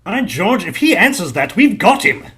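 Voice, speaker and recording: A man's voice: high voice, big pitch swings, moderate pace, pushed and bright, a little hiss or hum, exaggerated voice. A man acting a blunt, grudging Victorian police inspector in an audio drama, speaking in a cautionary way. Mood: excited.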